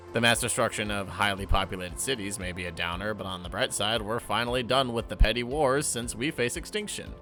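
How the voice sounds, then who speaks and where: A man's voice: deep voice, gravelly, sharp tone. A man narrating a scripted recap and review with wry asides. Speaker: guest co-commentator.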